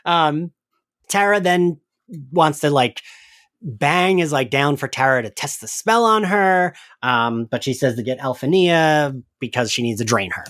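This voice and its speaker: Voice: mid-range voice, lively intonation, a little slow, fairly bright tone, dry recording. Chatty podcast host, dry close sound. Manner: chatty, loose, peppered with "like". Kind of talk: casual fan podcast discussion.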